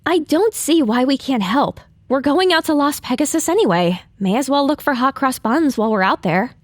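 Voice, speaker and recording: A woman's voice: high-pitched, animated, moderate pace. A woman playing a young, stubborn, idealistic pony heroine in an audio drama, with a sassy but earnest manner. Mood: determined.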